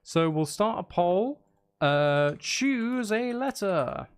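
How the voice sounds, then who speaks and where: A man's voice: sits high, animated, takes it slowly. A man chatting casually on a live stream, rambling and thinking aloud in a hesitant way. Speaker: live streamer composing game music.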